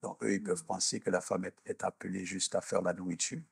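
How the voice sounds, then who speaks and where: A mature man from Haiti and Canada, answering as an interview guest in a deliberate, searching, preacher-like explanatory way. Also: sits low, steady tone, gravelly, breathy edge, dry recording, clean studio sound. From born in Haiti, grew up in Canada. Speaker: minister and executive coach, interview guest.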